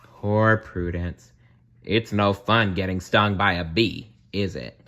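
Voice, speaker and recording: A man reading a children's bedtime story aloud in an expressive way, with chatty asides to the listener. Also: low-pitched, takes it slowly. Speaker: storybook reader.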